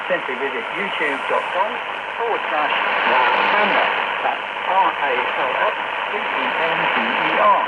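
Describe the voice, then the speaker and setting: A man presenting a radio magazine programme from a script, in a measured announcer's delivery. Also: on the high side. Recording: muffled shortwave radio with loud static. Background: heavy shortwave noise.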